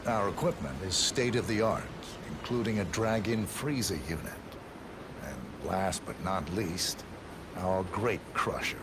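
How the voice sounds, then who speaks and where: Deep-voiced deadpan tour guide. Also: male voice, background noise. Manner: deadpan, measured, formal. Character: composed male winery tour guide with sinister dry humour. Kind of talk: scripted video game dialogue. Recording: loud machinery and ambience behind.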